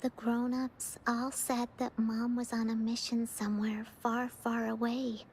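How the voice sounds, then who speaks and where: A woman's voice: a little high, little pitch movement, measured pace, a little mellow, a little hiss or hum. An actress playing a sad, wistful young girl in a video game, speaking hesitantly. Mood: wistful, sorrowful.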